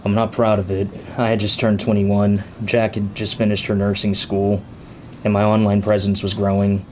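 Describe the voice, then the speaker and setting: A remorseful young son, read by a man in his twenties speaks in an audiobook. His voice sits low and stays flat and even, and he confesses quietly without raising it. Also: normal speed, a little hiss or hum, natural voice. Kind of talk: audiobook character reading.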